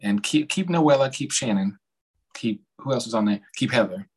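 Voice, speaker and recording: Male co-host, mid-pitched, blunt and sassy, loose profane gossip among friends. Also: animated, moderate pace, slightly rough. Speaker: podcast co-host. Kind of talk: casual gossipy chat about reality television.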